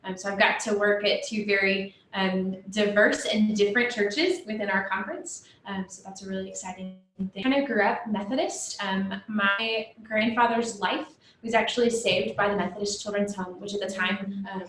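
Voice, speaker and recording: A young woman on church staff introducing herself earnestly on a panel, often pausing with um. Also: medium pitch, fairly even intonation, a bit bright, slight background noise. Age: young adult. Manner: earnest, with frequent ums. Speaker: church staff, ministry candidate. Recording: very echoey room.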